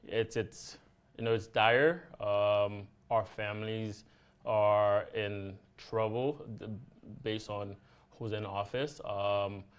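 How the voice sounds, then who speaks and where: A Haitian-born man speaking earnestly as a guest activist, halting and restarting often. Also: on the low side, level tone, clean tone. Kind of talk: casual interview chat.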